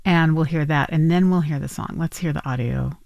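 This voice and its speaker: A woman's voice: low-pitched, brisk, gravelly, a little mellow, dry recording, studio quality. A woman hosting a radio interview, asking curious follow-up questions. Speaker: radio host.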